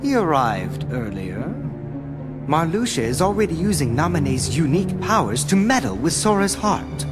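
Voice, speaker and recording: A man playing a cold, scheming game villain, smug and intrigued, with a haughty, theatrical and deliberate delivery. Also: a little high, sing-song. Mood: scheming, disdainful. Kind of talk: video game cutscene acting. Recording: scary music loud behind.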